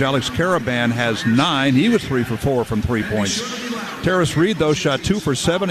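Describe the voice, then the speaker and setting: A man giving halftime statistics as a radio sports commentator. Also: mid-range voice. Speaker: sports reporter reading halftime stats. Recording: arena crowd noise behind. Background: crowd in arena.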